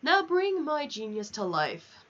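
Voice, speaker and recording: Woman putting on a high, theatrical cartoon animal villager. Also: big pitch swings, slow, a bit bright, dull audio, full character voice. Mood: grandly boastful. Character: theatrical, affectionate, self-admiring animal villager from cozy game. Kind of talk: reading game dialogue in character.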